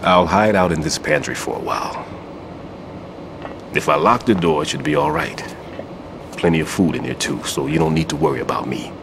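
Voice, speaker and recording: Deep, gruff veteran soldier. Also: male voice, background noise. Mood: calm, wary. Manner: measured, grave, instructing. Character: gruff, world-weary veteran male demolition expert, guarded mentor. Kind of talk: dramatic game voice acting.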